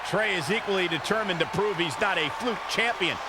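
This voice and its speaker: A man playing an opinionated, excitable wrestling commentator in a video game, calling the action dramatically. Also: high voice, brisk, very noisy, lightly acted.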